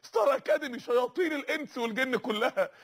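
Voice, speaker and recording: A man's voice: very high-pitched, quick pace, fairly bright tone. A man preaching an impassioned sermon with an Egyptian accent, urgent and repetitive, calling out to his listeners. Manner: rhetorical, repetitive, urgent calls to the audience.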